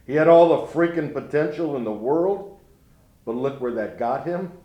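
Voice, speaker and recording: A man's voice: fairly high pitch, lively intonation, moderate pace, highs cut off, close to an everyday voice. An actor playing a grieving older grandfather who speaks in a folksy, rueful way in a dramatic monologue. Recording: roomy echo.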